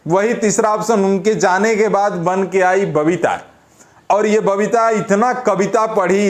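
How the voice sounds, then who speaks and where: A man with a Bihari accent giving informal, opinionated commentary as an online news presenter, colloquial and scolding, repeating phrases for emphasis. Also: high-pitched.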